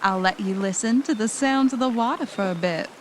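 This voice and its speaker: A woman's voice: mid-range voice, a little fast, noisy background, close to an everyday voice. A woman playing an anxious but adventurous podcaster on vacation in an audio drama. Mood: upbeat, coaxing.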